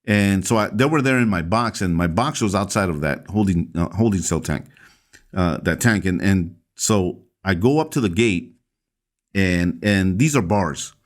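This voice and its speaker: Very deep-voiced man telling his story. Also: expressive. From El Salvador. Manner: halting, with many fillers and restarts. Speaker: pastor, interview guest. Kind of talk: storytelling in a podcast interview.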